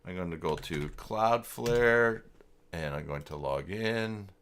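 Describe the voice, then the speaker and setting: Low-voiced man thinking aloud on a livestream. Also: a bit bright, a little hiss or hum. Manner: halting, muttering to himself, trailing off. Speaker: livestream host working through website email setup. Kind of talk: casual livestream tutorial, thinking aloud and reading from screen.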